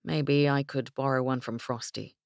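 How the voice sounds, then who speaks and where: A woman's voice: rumbling low, fairly even intonation, normal speed, creaky, no room sound, studio quality. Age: young adult. Woman narrating a teasing ranch girl with a drawl, raised a touch. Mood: playful.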